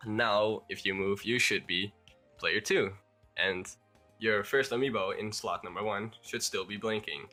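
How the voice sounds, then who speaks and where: A man's voice: fairly low pitch, steady tone, unhurried, pushed and bright, faint music behind. A young man with a Dutch accent, a bit sick, talking through a game tutorial in a rambling, hesitant way. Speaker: YouTuber.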